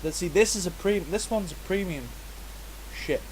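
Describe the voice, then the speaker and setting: A man's voice: sits high, normal speed, a little hiss or hum, rough recording. A man chatting through a game he is playing, self-mocking and talkative, sounding stuffy from a cold. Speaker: gaming channel host. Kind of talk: casual gameplay commentary.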